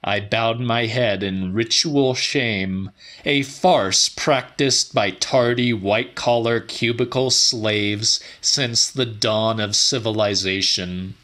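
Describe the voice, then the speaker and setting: A man giving a dramatic audiobook narration of weird fiction in a measured, deliberate way. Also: lowish voice, sharp tone.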